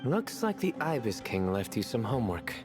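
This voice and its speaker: A man voicing a dry, self-assured young joker in a video game, fond of deadpan puns. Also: medium pitch, moderate pace, natural voice. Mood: dry, joking. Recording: long hall reverb, music behind.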